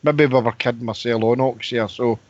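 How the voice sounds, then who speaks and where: Man, co-host, casual, halting delivery with repeats; slightly muffled dry mic. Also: medium pitch, fairly even intonation, a little mellow, dry recording. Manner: halting, repeats words while thinking. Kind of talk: casual phone-in chat.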